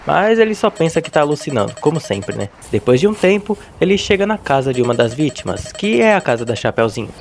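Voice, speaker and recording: A man's voice: a little high, a little hiss or hum. A man with a Brazilian accent hosting a video, giving casual storytelling commentary. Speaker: video host.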